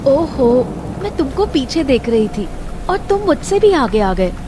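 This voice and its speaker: Excitable young woman in an animated horror tale, played by an actress; slow delivery, clear storm noise behind. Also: sits high. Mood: playful. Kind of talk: animated horror story dialogue. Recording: loud rain and thunder noise behind.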